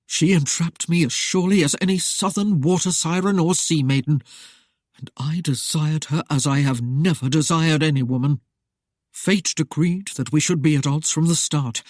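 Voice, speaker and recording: A woman's voice: deep voice, a little mellow, no room sound, studio quality. A woman voicing a self-assured nobleman who speaks in a formal, leisurely drawl.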